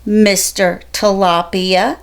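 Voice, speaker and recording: Woman voicing a slow, courteous gentleman seahorse. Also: mid-range voice, level tone, creaky. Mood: friendly, encouraging. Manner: courteous, encouraging, slow storybook speech. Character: polite, caring male seahorse, expectant father.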